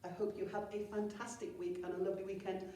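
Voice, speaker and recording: Echoey, mellow woman reading a children's story. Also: medium pitch, quick pace. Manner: animated, theatrical delivery. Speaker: teacher reading a story to pupils. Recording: very echoey room, distant mic.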